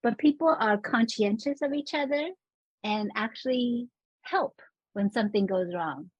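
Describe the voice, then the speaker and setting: A woman scholar from Los Angeles explaining urban planning in a casual interview, animated and laughing now and then. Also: a little high, unhurried, husky, no echo, muffled recording.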